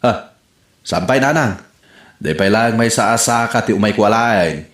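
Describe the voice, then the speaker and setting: A man's voice: lowish voice, a little slow, a bit bright. A man playing a suspicious, put-upon grown man in an Ilocano radio comedy drama, with an Ilocano accent. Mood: defensive. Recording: roomy, echoing sound.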